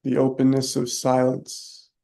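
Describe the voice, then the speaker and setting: A man leading a guided meditation, soft-spoken and unhurried, leaving long pauses between short phrases. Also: medium pitch, completely flat delivery, gravelly. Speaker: meditation teacher.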